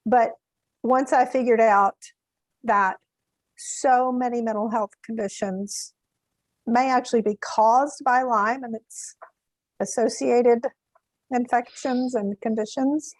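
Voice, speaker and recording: A middle-aged woman with a Mississippi accent, a therapist answering interview questions thoughtfully with pauses. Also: fairly high pitch, fairly even intonation, dry recording, rough recording. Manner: thoughtful, pauses to find words. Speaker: therapist guest.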